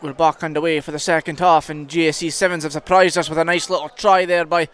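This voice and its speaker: A man's voice: on the high side, flat delivery, fast talker, fairly bright tone. A Scottish man giving live play-by-play commentary on a rugby match. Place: commentary box at a rugby ground. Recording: outdoor ground with clear background noise.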